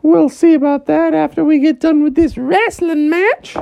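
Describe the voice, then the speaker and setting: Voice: sits high, normal speed, creaky, no room sound, muffled recording, pitched above the performer's own voice. A storyteller voicing a big, easygoing male elephant that can fly, in a bedtime story told to a child. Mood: teasing. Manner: easygoing, relaxed. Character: big friendly male flying elephant, laid-back.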